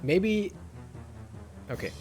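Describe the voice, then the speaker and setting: A man's voice: sits high, lively intonation, extremely slow, a little mellow. A man hosting a let's play with casual, rambling commentary, thinking aloud as he plays. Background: faint video game music.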